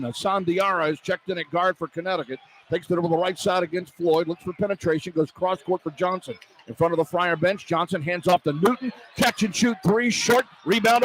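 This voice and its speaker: A male radio play-by-play announcer with a high-pitched voice calls basketball live. He speaks in clipped, running phrases that climb with the action, over faint arena noise. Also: rough recording. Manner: clipped running phrases, voice rising to shouts on big plays. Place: courtside in a college basketball arena. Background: arena ambience, faint noise.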